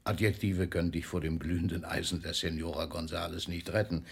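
An actor playing an older, famous poet in a German radio drama, declaiming with mockery and fatherly authority, swinging between booming and hushed delivery. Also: level tone, a little fast, vocal fry, slightly rough, full character voice. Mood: teasing, dramatic warning. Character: older famous poet, theatrical, mocking, fatherly toward a young postman.

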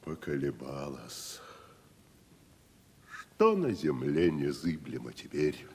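An actor playing a bombastic provincial tragedian who declaims pompously in a radio stage comedy. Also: male voice, rumbling low, big pitch swings, slow, darkish tone, husky, heavily acted. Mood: grand, declaiming. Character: grandiloquent provincial tragedian actor, a bombastic elder brother figure. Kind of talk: 1970s Russian stage comedy radio acting. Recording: echoing hall, old radio drama.

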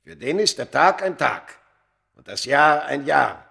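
An ancient, cursed Egyptian man in a radio play. His gravelly voice swoops widely in pitch, moving slowly and solemnly from hushed torment into fierce, theatrical outbursts. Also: lively intonation, heavily acted. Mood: fervent, solemn. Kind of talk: German radio play acting.

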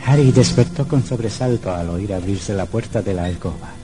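An actor playing a sinister storyteller, narrating an old radio horror drama in a theatrical, literary style. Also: male voice, on the low side, moderate pace, loud music behind the voice, close to an everyday voice. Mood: ominous.

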